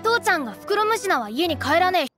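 A woman voicing a brash, stubborn young village boy in a video game, with rough, defiant speech. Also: extremely high pitch, very animated, fast, sharp tone, full character voice. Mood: boastful. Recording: background music under the voice.

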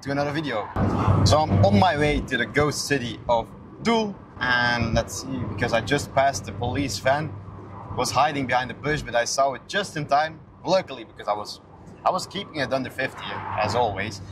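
A man's voice: mid-range voice, moderate pace. A man, chatting casually as a car vlogger while driving. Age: 20s. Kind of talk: casual vlog chat. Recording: clear noise, car and road sound.

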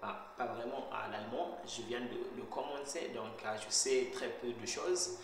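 Hesitant young man in an echoey room. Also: mid-range voice. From Zimbabwe. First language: Shona. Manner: hesitant, searching for words with frequent pauses. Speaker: language learner vlogger. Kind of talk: casual talk to camera. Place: bare indoor kitchen. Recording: very echoey bare room with faint hum.

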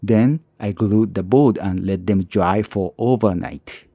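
A man narrating his own woodworking tutorial as a calm voice-over, with plain, slightly non-native phrasing. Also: on the low side, a little slow, no echo. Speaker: woodworking channel host.

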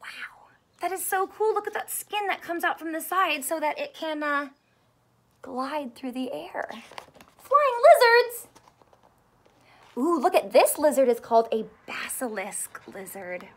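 A woman in her thirties reading a children's book aloud in an expressive, teacherly way, asking questions and drawing out key words. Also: high-pitched, a little slow. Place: small indoor room with couch and stuffed toys.

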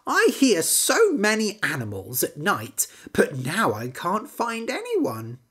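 A woman voicing a curious, picky little male frog who talks brightly. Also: medium pitch, wildly swooping pitch, slow, husky, pitched above the performer's own voice, full character voice. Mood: puzzled.

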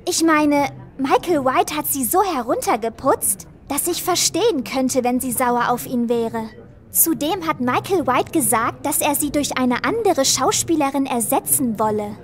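High-voiced, cheeky young girl. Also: lively intonation, measured pace. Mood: speculating. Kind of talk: children's audio drama acting.

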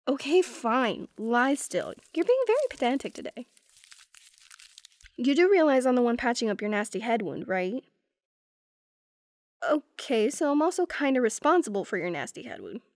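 Female actor for affectionate, sarcastic young girlfriend in ASMR roleplay; lightly high pitch, playful teasing, dry close recording. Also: big pitch swings, moderate pace, long pauses, no echo. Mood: playful, caring.

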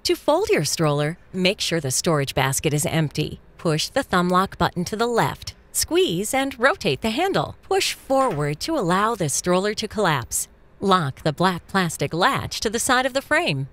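A woman's voice: on the low side, very animated, normal speed, fairly bright tone. A woman reading scripted instructional narration for a product video. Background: music.

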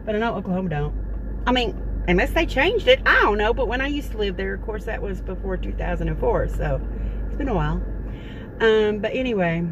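A woman's voice: mid-range voice, lively intonation, a little fast. Middle-aged woman, meandering folksy chatter, parked car, noisy background. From Texas. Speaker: vlogger. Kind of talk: casual rambling chat to camera. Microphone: phone camera at close range. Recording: car interior with noticeable background noise.

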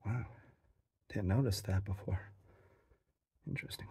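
A man's voice: on the low side, steady tone, measured pace, warm tone, breathy edge. A man narrating his own exploration of a cramped abandoned mine, enthusiastic and explaining what he sees as he goes.

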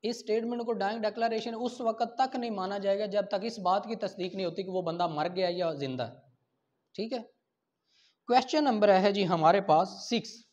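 A man's voice: high-pitched, normal speed, a little mellow. A Pakistani man in his thirties lecturing didactically as a teacher in a classroom, posing questions and answering them himself. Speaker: law teacher. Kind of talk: classroom lecture for exam preparation. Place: small indoor classroom at a whiteboard. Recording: dull lo-fi camera sound.

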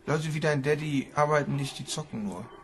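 Creaky-voiced, cheeky young slacker. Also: male voice, fairly high pitch, brisk, a little hiss or hum. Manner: flippant, dismissive. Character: lazy, cheeky grown son glued to computer games. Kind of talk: German crime radio drama acting.

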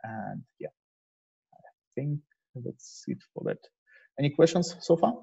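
Male meetup presenter, mellow and husky, hesitant informal delivery, lo-fi call audio. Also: mid-range voice, sing-song, a little slow. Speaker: presenter at an online developer meetup. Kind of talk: informal technical presentation with slides. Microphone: video call microphone. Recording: dull, muffled lo-fi online call.

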